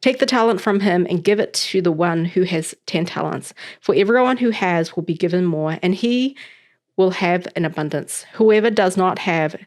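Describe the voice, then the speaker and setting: Chatty New Zealand woman, lowish voice. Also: normal speed, a little mellow. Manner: chatty and upbeat, with loose fillers. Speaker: host reading scripture. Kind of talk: casual chat, then scripture reading aloud.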